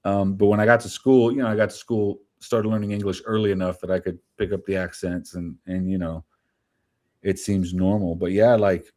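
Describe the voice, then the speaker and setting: Casting: deep, warm-toned man in his forties or fifties, Spanish as his first language, chatty and rambling guest. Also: fairly even intonation, moderate pace. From New Jersey, US. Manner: rambling, filler-heavy, candid. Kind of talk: casual interview chat.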